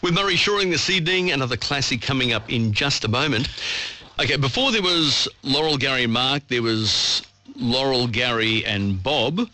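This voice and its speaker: A man's voice: mid-range voice, animated, moderate pace, sharp tone. An Australian man presenting live on radio from a studio. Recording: AM radio broadcast picked up online.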